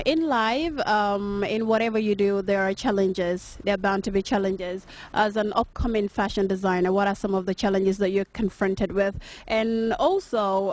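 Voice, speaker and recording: A woman reporter asking interview questions in a measured way, pausing on drawn-out ums. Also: mid-range voice.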